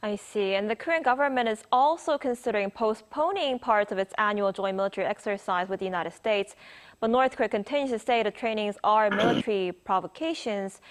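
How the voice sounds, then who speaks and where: A woman news anchor interviewing a guest in a measured, formal broadcast style. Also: medium pitch.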